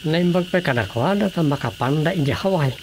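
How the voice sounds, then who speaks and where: A man's voice: a little high, lively intonation, brisk, a little hiss or hum. A man with an Ilocano Filipino accent, acting a teasing village councilor in a radio comedy drama. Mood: teasing, casual.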